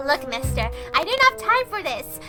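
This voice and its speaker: A woman voices a snarky, imperious little girl in an anime parody audio drama. The voice is extremely high and very animated, full of haughty scheming. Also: normal speed, music in the background. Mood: annoyed, snarky.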